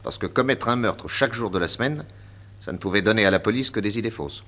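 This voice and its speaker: Witty french detective in a 60s radio play, super animated, spells out deductions with big emphasis. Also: male voice, lowish voice, fast. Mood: pondering. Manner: emphatic, theatrical, methodical. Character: confident, witty male detective inspector explaining his deductions. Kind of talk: 1960s French radio drama acting.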